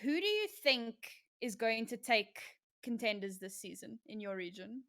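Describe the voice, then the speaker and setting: A woman's voice: fairly high pitch, animated, moderate pace, a bit bright. A woman hosting a casual esports podcast, talking conversationally with guests and laughing easily. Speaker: podcast host.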